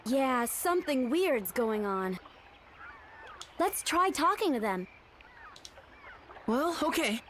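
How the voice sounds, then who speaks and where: A woman's voice: high voice, very animated, slow, pauses often, fairly bright tone, slight background noise, exaggerated voice. A woman voicing a curious, alert young adventurer from a video game. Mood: puzzled, cautious.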